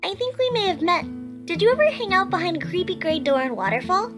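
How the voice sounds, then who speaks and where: Woman as kindly monster queen: high lilting voice, motherly, courteous, cartoon dub, music behind. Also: big pitch swings, quick pace. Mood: concerned. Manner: caring, polite. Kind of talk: cartoon comic dub acting.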